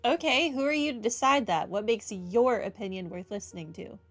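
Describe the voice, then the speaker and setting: A woman's voice: on the high side, brisk. A woman hosting a game playthrough with casual commentary on the story. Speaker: gaming playthrough host.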